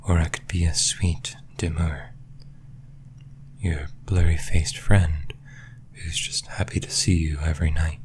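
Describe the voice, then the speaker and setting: A man voicing a lonely, clingy sleep paralysis demon in an intimate audio roleplay, hushed and hesitant, often slipping into a breathy whisper. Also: very deep voice, a little hiss or hum. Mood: tender, clingy.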